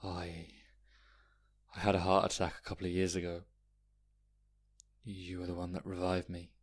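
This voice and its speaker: A haunted, anxious man delivers an audio drama monologue. His voice sits on the low side, and his delivery is deliberate and brooding. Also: completely flat delivery. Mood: quietly earnest. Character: anxious haunted man recalling his own heart attack.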